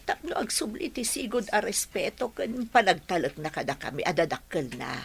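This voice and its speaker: A woman's voice: mid-range voice, big pitch swings, normal speed, gravelly, slightly rough, full character voice. A woman playing a regretful middle-aged mother in a radio drama, speaking in a remorseful, pleading manner.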